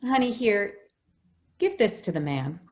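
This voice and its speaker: A woman playing a gentle, anxious housekeeper who protects a child, hesitant and soothing, in old-time radio drama style. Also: medium pitch, sing-song, unhurried, heavy background noise. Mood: wistful, tender.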